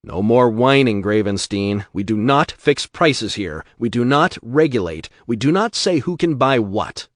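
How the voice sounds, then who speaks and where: A man's voice: medium pitch, expressive, measured pace, hardly any pauses, no room sound, studio quality, close to an everyday voice. A man voicing a stern, powerful older businessman who lectures in a clipped, firm way.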